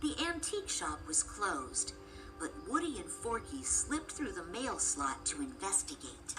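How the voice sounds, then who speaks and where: A woman reads a children's story aloud as narrator, with lively intonation. Her scripted delivery is expressive, at a medium pitch, with music clearly playing behind her. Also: measured pace, rough recording.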